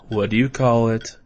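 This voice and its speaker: A man's voice: mid-range voice, fairly bright tone. A man playing an exasperated, skeptical sidekick in a comedic audio drama, with a sarcastic, incredulous delivery. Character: skeptical, exasperated male radio newsman, reluctant sidekick.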